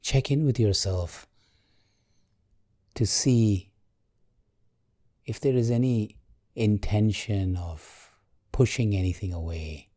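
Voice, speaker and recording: A man guiding a meditation in a calm, gentle, measured way, leaving long pauses. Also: fairly low pitch, no echo, studio quality. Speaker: meditation guide. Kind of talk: guided meditation, slow and soothing.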